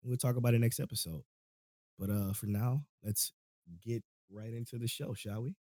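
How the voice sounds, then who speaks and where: A man's voice: mid-range voice, fairly even intonation, moderate pace, mellow, no room sound, clean studio sound. A man hosting a casual podcast, talking in a laid-back, rambling way with frequent laughs, his voice a little worn from a cold. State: voice going, catching a cold. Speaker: podcast host.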